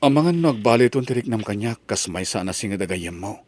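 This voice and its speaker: A man playing a shy, tender young college student in love, speaking softly and hesitantly in an Ilocano drama. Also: lowish voice, lively intonation, a little fast. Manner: soft, hesitant. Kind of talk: Ilocano radio-style drama acting.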